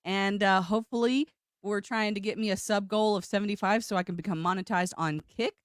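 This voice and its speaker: Woman, thirties, sick, low voice, rambling casual chat, dry close mic. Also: fairly even intonation, brisk, no room sound. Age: 30s. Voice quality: low voice often taken for a man's. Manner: chatty, rambling with fillers. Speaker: guest streamer.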